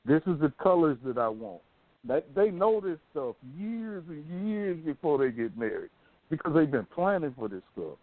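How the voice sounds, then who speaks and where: A man's voice: fairly high pitch, very animated, normal speed, dry recording. Man talk host on a phone-like line. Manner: colloquial, storytelling. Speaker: radio talk show host. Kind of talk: casual opinionated chat. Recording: thin phone-like band-limited sound.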